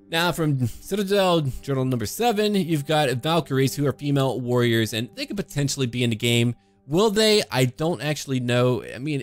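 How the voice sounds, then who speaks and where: A man giving casual, speculative video game commentary in a conversational, enthusiastic way. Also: medium pitch. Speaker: gaming video creator, commentator. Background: background music.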